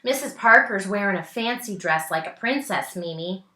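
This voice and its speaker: A woman voicing an eager, restless little brother from a storybook, with an excitable, pleading delivery. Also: medium pitch, moderate pace, roomy sound.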